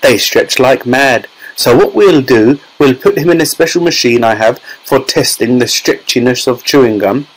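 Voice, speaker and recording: A man voicing an eccentric storybook gentleman, brisk and excitable, with a breezy manner. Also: mid-range voice, lightly acted, rough recording. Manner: exclamatory, breezy.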